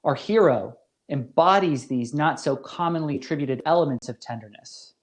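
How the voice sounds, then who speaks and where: A man giving a scripted academic lecture as a professor, with deliberate, formal phrasing and careful emphasis. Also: on the high side, lively intonation. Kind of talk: scripted philosophy lecture narration.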